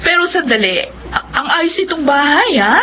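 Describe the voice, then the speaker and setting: A caring elder sister in a Filipino radio drama, with a fairly high, emotive voice. Clear noisy ambience sits behind her. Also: expressive, moderate pace. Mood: overjoyed, tearful.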